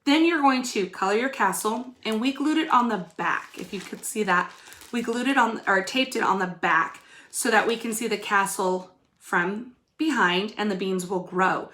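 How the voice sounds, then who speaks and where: A woman's voice: medium pitch, moderate pace, fairly bright tone. A woman teacher giving young pupils an informal video lesson, explaining step by step and addressing them directly. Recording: echoey room.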